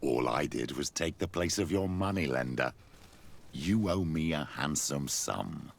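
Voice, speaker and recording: Very deep, gravelly old scheming clergyman. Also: expressive, unhurried, heavily acted. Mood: smug. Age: older. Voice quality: gravelly, husky. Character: sly, ambitious, guilt-ridden old male clergyman. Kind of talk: video game cutscene acting.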